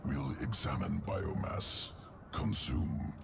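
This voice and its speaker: Extremely deep, gravelly alien creature. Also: male voice, slow pace, very noisy, exaggerated voice. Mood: cold hunger. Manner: terse, broken-grammar growl. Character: primal, hulking male alien creature obsessed with biomass. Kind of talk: dramatic video game creature acting. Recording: echoing, loud music behind.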